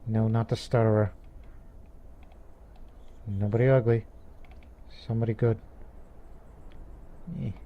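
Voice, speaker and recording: A man's voice: lowish voice, level tone, takes it slowly, pauses often, highs cut off. A man playing a strategy game, musing aloud and speaking as his ruler, with laughing asides. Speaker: game let's player. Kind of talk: casual gameplay commentary.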